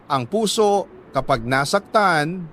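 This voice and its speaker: Male, Filipino accent, high voice, very unhurried, heartfelt radio storytelling, deliberate pauses, dramatic scripted delivery. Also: animated, a bit bright. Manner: measured, heartfelt, with deliberate pauses between phrases. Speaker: radio storyteller reading a listener's letter. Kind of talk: dramatic scripted narration of a sentimental letter.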